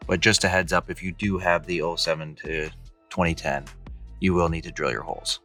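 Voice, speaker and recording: A man in his thirties with a low-pitched voice walks through a DIY job step by step. His delivery is casual and plain-spoken, like a hobbyist's tutorial. He is recorded in a garage on a camera mic, with music in the background. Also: fairly even intonation, fairly quick, bright, forceful tone. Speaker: host of a DIY Jeep how-to channel. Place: indoor garage with high ceiling, in and around a car.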